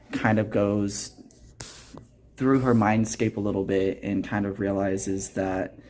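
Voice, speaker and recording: A young man in his late teens with a fairly low voice speaks as an interview guest. He is earnest and reflective, explaining things conversationally and softening them with little hedges like "kind of" and "you know". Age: teens, high school senior. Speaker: student actor interviewed as a guest.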